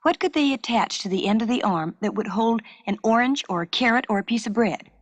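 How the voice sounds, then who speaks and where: A woman's voice: fairly high pitch, lively intonation, brisk, no echo. A woman storyteller voicing an inventive, keen young schoolgirl making suggestions in a children's story. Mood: curious. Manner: questioning, suggesting.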